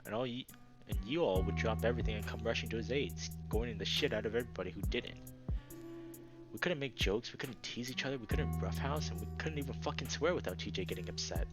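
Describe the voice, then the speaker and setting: Man reading as a bitter, low-voiced young reptile guy. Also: quick pace, over loud music, natural voice. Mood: bitter, insistent. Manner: blunt, sweary, defensive. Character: bitter, hot-tempered young male reptile with a grudge. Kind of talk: visual novel character read-aloud.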